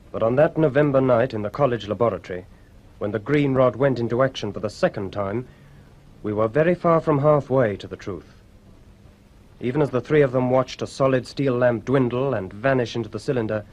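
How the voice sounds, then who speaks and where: An actor playing a serious male journalist narrating a radio drama in a measured, portentous manner. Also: mid-range voice, darkish tone, dull audio, lightly acted. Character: serious male journalist recounting an old mystery.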